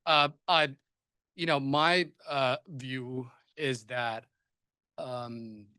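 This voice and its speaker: A man's voice: mid-range voice, steady tone, very slow, bright, forceful tone, clear, resonant tone, dry recording. A man whose first language is Persian, moderating a panel discussion, often hesitating and restarting his sentences. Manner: hesitant, many restarts and fillers.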